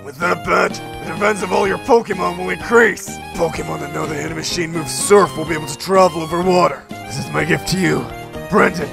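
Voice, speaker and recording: A man plays an earnest middle-aged dad who leads a gym in a video game. His voice is thick with gravel and creak. Music plays behind him. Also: a little high, fairly quick. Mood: earnest, instructive. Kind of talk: video game fan dub.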